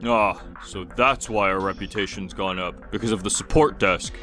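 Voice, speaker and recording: A man voicing a measured, businesslike company boss in a dubbed comic drama. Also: sits low, bright, forceful tone, soft background music. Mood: considering. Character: measured, businesslike male company boss, middle-aged or older.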